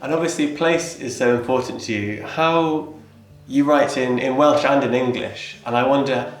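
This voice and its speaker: A man's voice: medium pitch, normal speed. A man who is a touring musician, casually introducing the stop and asking interview questions in an easygoing way. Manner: easygoing, with some "um"s. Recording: roomy space with faint music.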